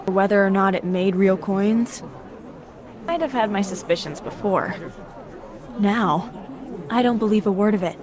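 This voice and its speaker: An actress playing a young wandering heroine in a video game, wry and direct in manner. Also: female voice, medium pitch, a little slow, pauses often, lightly acted. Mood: wry, fed up. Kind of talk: video game cutscene acting. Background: music and crowd chatter.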